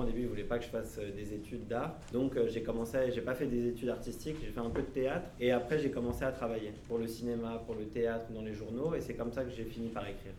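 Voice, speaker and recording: A man in his thirties from France speaks at a middle pitch, answering children with gentle, halting phrases and frequent restarts. His voice rings in an echoey room. A faint hiss sits underneath. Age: 30s. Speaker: author interviewed by schoolchildren. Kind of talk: casual interview answers.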